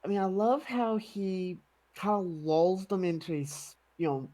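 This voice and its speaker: A man's voice: high-pitched, steady tone, unhurried, a loud crowd. A man in casual fan chat as a podcast panelist.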